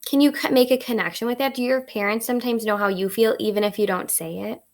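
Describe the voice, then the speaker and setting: High-voiced woman teacher reading aloud. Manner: expressive, instructive, pausing to question listeners. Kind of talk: read-aloud lesson, storytelling with questions to children.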